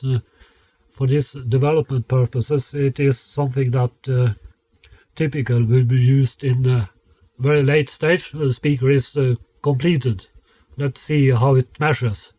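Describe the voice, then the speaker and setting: A man who designs loudspeakers explains his work in a halting, matter-of-fact way, stopping often to find his words. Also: medium pitch, no echo.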